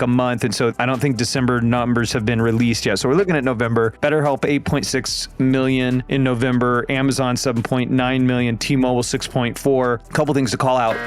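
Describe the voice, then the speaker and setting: A man hosting a podcast in a conversational, informal and enthusiastic way. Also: medium pitch. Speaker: podcast host. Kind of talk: conversational podcast hosting about audio advertising.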